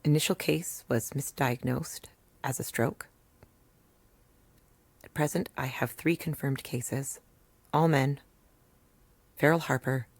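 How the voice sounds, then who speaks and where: Low-voiced, weary woman doctor. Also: darkish tone, husky, no echo, exaggerated voice. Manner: dry, blunt, wry. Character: weary, dogged rural post-apocalyptic town doctor, a mother. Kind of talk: naturalistic audio drama acting.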